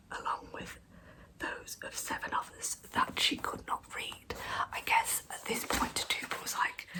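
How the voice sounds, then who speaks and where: A woman narrating true crime as ASMR in a breathy, hushed whisper, telling the story in measured phrases. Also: low-quality recording. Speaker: ASMR storyteller, channel host.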